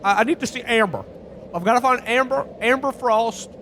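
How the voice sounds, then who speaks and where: A man's voice: extremely high pitch, fairly quick, a bit bright, slight background noise. A man playing a frantic, pleading character who stammers and repeats himself in a radio play parody. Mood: distraught. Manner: stammering, breathless repetition, pleading. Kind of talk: old-time radio play melodrama parody.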